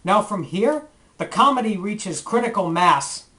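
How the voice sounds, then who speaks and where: A man with a bright, punchy voice hosts a retrospective review. His commentary is scripted and playful, dipping into theatrical comic asides. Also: high-pitched, lively intonation, measured pace. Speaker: retrospective video host.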